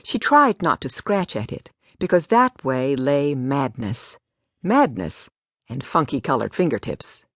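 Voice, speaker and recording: A woman's voice: very deep voice, sing-song, a little slow, no echo, clean studio sound. A woman giving a scripted story narration as an audiobook narrator. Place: dry studio.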